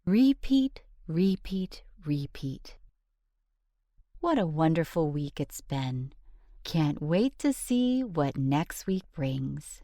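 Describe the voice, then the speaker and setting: A woman reading a rhyming children's book aloud in an expressive, sing-song storyteller's delivery. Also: low-pitched, takes it slowly, no echo, clean studio sound.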